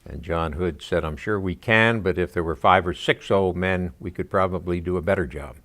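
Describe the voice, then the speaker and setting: A man in his eighties telling a story in a relaxed documentary interview. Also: sits low, moderate pace. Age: 80s. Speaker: veteran telling the group's story.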